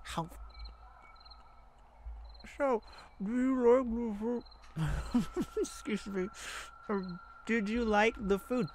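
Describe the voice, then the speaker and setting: A woman's voice: fairly high pitch, fairly even intonation, very slow, pauses often, a little mellow. A woman playing a jovial, affectionate girlfriend in an intimate, casual ASMR roleplay, with a playful, chatty manner. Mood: tender, relaxed. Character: jovial, affectionate girlfriend treating partner to a night out. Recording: clear background ambient noise. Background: ambient sound effects.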